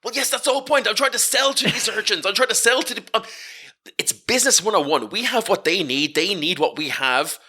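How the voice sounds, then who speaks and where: An exasperated, street-smart woman hustler acted out by a man in a tabletop game. The voice swings widely up and down with a bright, forceful edge. She stammers and haggles as she argues her case. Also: a little high, very animated, normal speed, hardly any pauses, exaggerated voice. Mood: insistent, frustrated. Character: Rowena, exasperated street-smart female hustler of stolen goods. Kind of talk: improvised tabletop role-play.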